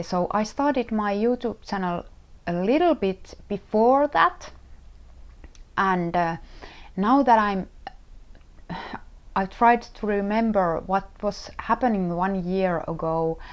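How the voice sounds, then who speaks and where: A middle-aged woman from Finland with a Finnish accent, hosting her own knitting podcast and talking casually, often pausing with fillers and restarting her sentences as she searches for words. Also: lowish voice. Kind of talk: casual solo podcast talk.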